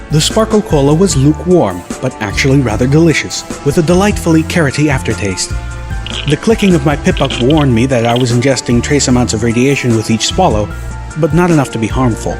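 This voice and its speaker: A man narrating an audiobook in the first person, measured and dryly wry. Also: medium pitch. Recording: clear music bed behind the voice.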